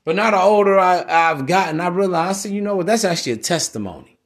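A man's voice: sits high, animated, fast. A man with an American accent giving an informal, blunt monologue to listeners, slangy and preachy, repeating himself for emphasis. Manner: emphatic repetition. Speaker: podcast host.

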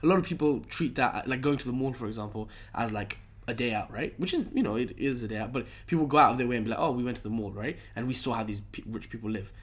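A man's voice: mid-range voice, fast, few pauses. A young man from London, a guest telling stories in a casual chat, full of slang and "right?" tags. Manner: animated storyteller, lots of slang and "right?" tags.

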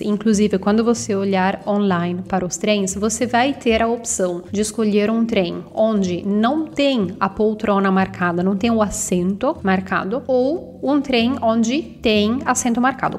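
A young woman from northern Italy, teaching phrases and repeating each one slowly and clearly for practice. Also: mid-range voice. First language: Italian. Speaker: Italian teacher. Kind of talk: language lesson for Portuguese speakers.

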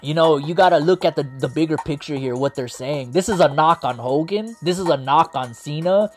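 An excited man, rambling through a wrestling recap and losing his voice as he goes. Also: a little high, a little fast, slight background noise. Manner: hyped, rambling, heavy on "man" and "like". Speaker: wrestling show host. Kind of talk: excited casual commentary.

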